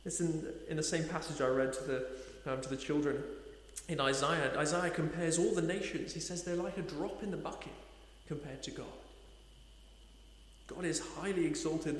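A man's voice: on the high side, fairly even intonation. Man preaching in an echoey hall. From Nepal. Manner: earnest, slowing for emphasis, rhetorical questions. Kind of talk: sermon.

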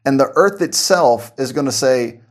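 A man's voice: medium pitch, lively intonation, moderate pace. A man preaching an informal sermon, earnest and conversational, often repeating words as he restarts his sentences. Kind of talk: conversational radio-style sermon.

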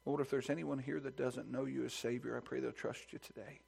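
A pastor speaks from the pulpit of a church sanctuary, his voice sitting at an ordinary pitch for a man. He moves between a hushed, earnest prayer and climbing, loud, fervent preaching. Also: little pitch movement, fairly quick, creaky, breathy edge. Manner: builds to loud, high-pitched preaching fervor; soft, hushed praying voice. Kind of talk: sermon with scripture reading and prayer.

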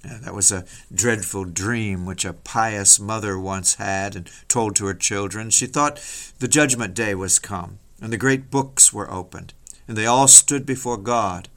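A man's voice: fairly low pitch, measured pace, a bit bright. Solemn man preaching a dramatic sermon. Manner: solemn, declamatory, rhetorical. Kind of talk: dramatic hellfire sermon, old-fashioned scripted oratory.

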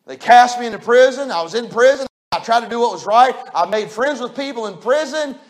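A man's voice: very high voice, animated, a little fast. A man, a preacher, voicing the biblical Joseph as an aggrieved young man who pleads that no one understands what he has gone through. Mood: bitter. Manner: pleading, indignant complaining. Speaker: pastor.

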